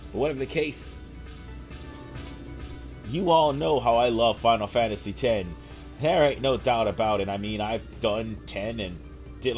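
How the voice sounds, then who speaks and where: A young man with a hoarse voice from a cold, giving rambling, laid-back commentary while he plays a game. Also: medium pitch. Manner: rambling, laid-back, frequent fillers and throat clearing. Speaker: gameplay commentator. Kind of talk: casual let's play commentary. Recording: game music playing behind.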